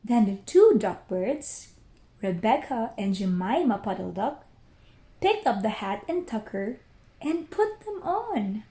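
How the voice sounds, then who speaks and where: A woman reads a children's story aloud with careful pauses. Her voice is fairly high and very expressive, taken at an unhurried pace in an echoey room. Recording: roomy echo.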